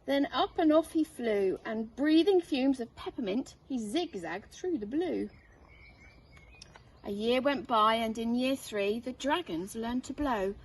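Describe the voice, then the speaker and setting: A woman head teacher reading a children's storybook aloud with expressive narration. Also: a little high, unhurried. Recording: distant mic, faint noise.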